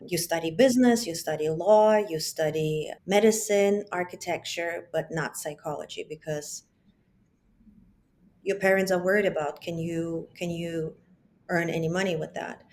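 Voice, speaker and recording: Woman in her 40s on a call from home, lowish voice, reflective, lots of restarts. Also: normal speed, darkish tone. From Germany. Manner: reflective, with frequent restarts and asides. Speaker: psychologist and business founder, guest. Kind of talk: casual interview conversation. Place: home, children in the background.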